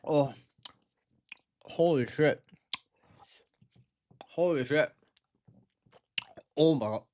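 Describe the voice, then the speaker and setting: Tired young man, slow. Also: on the high side, a little mellow. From Taiwan. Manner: slangy, swearing, calls viewers bro. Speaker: livestreamer. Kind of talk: casual livestream chat.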